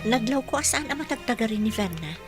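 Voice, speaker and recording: A woman's voice: on the high side, animated, fast, faint music behind, natural voice. A Filipino woman playing a caring, practical young working woman, an elder sister, in a radio drama. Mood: earnest.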